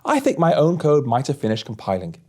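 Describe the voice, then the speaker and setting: A man's voice: mid-range voice, huge pitch swings, a little fast, dark tone. A man voicing a pushy, overconfident male tech lead in a storybook, with a breezy know-it-all manner. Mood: sheepish.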